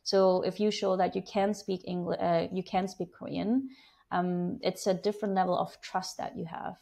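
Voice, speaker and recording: A woman in her forties from Germany, a business executive answering interview questions in a measured, thoughtful way, speaking through earphones on a video call. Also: fairly low pitch, flat delivery, vocal fry, mellow. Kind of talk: conversational interview. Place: home room with slanted ceiling window, indoors. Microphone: wired earphone mic on a video call.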